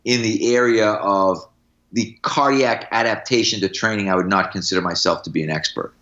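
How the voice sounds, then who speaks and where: Bright-voiced male strength coach, slightly dull audio. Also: lowish voice, moderate pace. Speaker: strength coach, podcast guest. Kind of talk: casual podcast talk with anecdotes.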